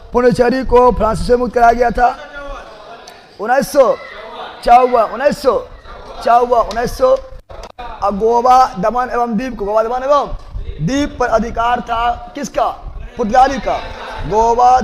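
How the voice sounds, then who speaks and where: Male coaching teacher, Bihari accent, very high voice, emphatic drilling with question and answer, heavy hall reverb. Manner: emphatic, repeats key facts loudly, checks with the class. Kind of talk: live general-knowledge classroom drilling with repetition and question-and-answer. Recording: echoey hall with long reverb.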